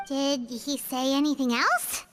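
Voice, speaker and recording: Squeaky, excitable little fairy sidekick. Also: female voice, unhurried, faint music behind. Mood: dismayed, curious. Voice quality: squeaky, childlike. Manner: expressive, speaks of self in third person. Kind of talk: anime game voice acting.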